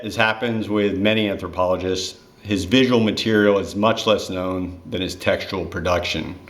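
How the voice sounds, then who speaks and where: A man reading a written tribute essay in a measured narrator's role. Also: deep voice.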